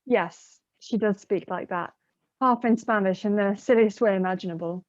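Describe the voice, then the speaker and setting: A woman's voice: medium pitch, moderate pace, lightly acted. A woman playing a bitter, defiant young daughter in a stage play, with a sardonic, mocking manner. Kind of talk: theatrical play acting. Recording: dull muffled video-call audio.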